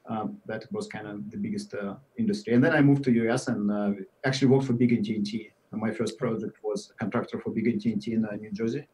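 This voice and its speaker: A man in his forties with a Russian accent, answering interview questions in a halting way, with many fillers and trailing off at the ends of phrases. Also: on the low side, steady tone, a little mellow, low-quality recording. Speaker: guest software engineer.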